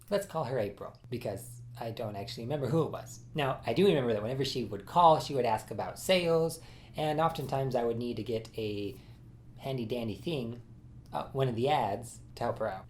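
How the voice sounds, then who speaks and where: A man's voice: mid-range voice, darkish tone. A man casually telling a personal story in a chatty, self-deprecating way, often laughing at himself.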